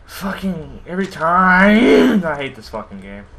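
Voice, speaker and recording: Excitable young male gamer, lively pitch. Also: measured pace, vocal fry. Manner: profane, excitable. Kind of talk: casual gaming voice chat. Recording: voice chat under game gunfire. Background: gunfire and game chatter.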